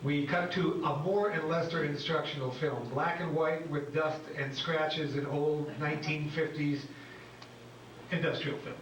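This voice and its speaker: A man's voice: a little high, steady tone, measured pace, a bit bright. A man narrating scripted stage directions in a plain, matter-of-fact way. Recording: echoey room, distant, faint noise.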